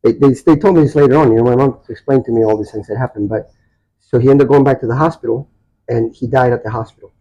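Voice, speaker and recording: A man in his sixties from Chile, a Spanish speaker, telling personal stories haltingly as he searches for words. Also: medium pitch, warm tone, highs cut off. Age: 60s. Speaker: podcast guest. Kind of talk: personal storytelling in a casual interview.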